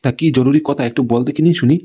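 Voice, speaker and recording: A man's voice: medium pitch, racing, warm tone, breathy edge, close to an everyday voice. A man playing a stubborn, grumbling family man with a blunt, repetitive way of talking in a comedy cartoon. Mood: curious. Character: stubborn, grumbling male head of a squabbling family. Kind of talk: Bengali comedy cartoon dialogue.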